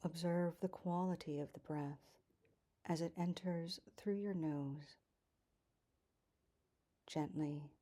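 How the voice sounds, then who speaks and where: Female yoga teacher, low pitch, mellow tone, calm guided instruction, soft trailing endings. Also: slow pace, long pauses.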